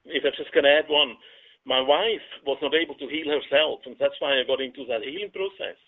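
A man from Switzerland with a Swiss accent, calling in and explaining his healing practice in halting speech that repeats words. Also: on the high side. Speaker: caller. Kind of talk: casual call-in chat. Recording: tinny phone line.

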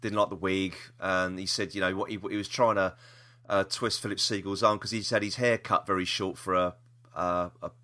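A man's voice: low voice, fairly even intonation, normal speed, bright, forceful tone. A British man hosting a podcast in casual chat, hesitant and rambling, often repeating himself. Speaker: podcast host.

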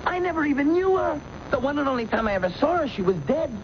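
An actor playing a defensive, indignant office worker in a 1970s radio drama, emphatic and protesting. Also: male voice, extremely high pitch, sing-song, quick pace, heavily acted. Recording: muffled, noisy old radio recording.

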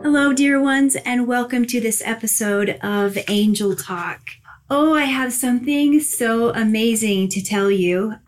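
A woman's voice: a little high, measured pace, faint music behind. A tired woman hosting a spiritual podcast in a gushing, chatty way, laughing often. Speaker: podcast host and angel communicator. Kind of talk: casual spiritual podcast chat.

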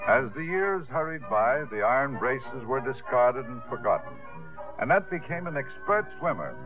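Male actor as reminiscing narrator, mid-pitched, animated, vintage radio with clear music bed. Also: lightly acted. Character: storytelling former theatre manager, reminiscing warmly. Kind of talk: scripted 1950s radio drama narration. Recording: muffled 1950s radio broadcast over music.

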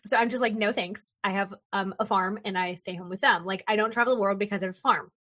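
A woman hosting an interview, chatting in an animated, jokey way and acting out little quoted voices as she talks. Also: medium pitch, fast talker. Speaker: podcast host. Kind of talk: casual interview chat.

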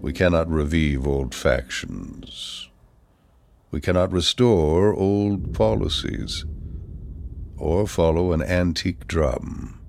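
A man reading poetry aloud in a solemn, measured and deliberate manner, leaving pauses between phrases. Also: deep voice, lively intonation, gravelly, a little mellow. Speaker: poetry reader. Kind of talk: dramatic poetry recitation.

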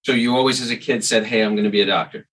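Bright-voiced man hosting a chat. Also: fairly low pitch, fairly even intonation, rapid-fire. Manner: chatty, storytelling asides. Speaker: podcast host, surgeon. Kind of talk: casual interview chat.

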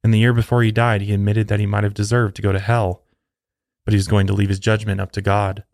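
A man with a fairly low voice narrates true crime as a podcast host. The sound is close and dry, with very little room around him. He reads from a script, telling the story step by step. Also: little pitch movement, fast, creaky, darkish tone, no echo.

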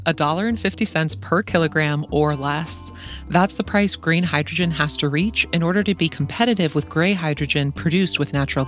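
A podcast host reading scripted narration with a clear, measured delivery, then asking interview questions. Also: mid-range voice.

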